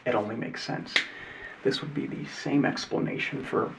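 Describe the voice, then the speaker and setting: A man voicing an earnest 1950s television presenter, speaking in soft, mostly unvoiced whispers. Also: medium pitch, normal speed, gravelly, far from the mic, background noise. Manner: mostly unvoiced whispering, old-fashioned host patter. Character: earnest male 1950s TV presenter, folksy and sure. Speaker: ASMR creator playing a 1950s TV host. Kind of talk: soft-spoken ASMR roleplay of a 1950s talk show.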